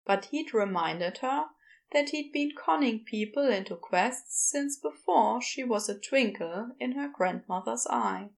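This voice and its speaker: Slow, creaky-voiced woman narrator. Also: on the high side. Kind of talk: scripted storybook narration.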